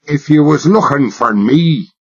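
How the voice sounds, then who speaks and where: A man voicing a stocky middle-aged Irish foreman with a deep, rough, no-nonsense voice and a blunt, suspicious way of asking questions, on a dull lo-fi recording. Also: animated, unhurried.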